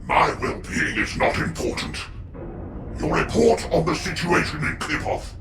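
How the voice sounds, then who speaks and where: A vengeful warlord declaims with a rough, gravelly, fairly high voice. He is commanding and unyielding, a game villain heard in an echoing space. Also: big pitch swings, a little slow, over loud music, exaggerated voice. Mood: imperious. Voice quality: gravelly, strained. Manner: imperious, declamatory. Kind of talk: dramatic game villain acting. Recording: echoey, distant, faint noise behind.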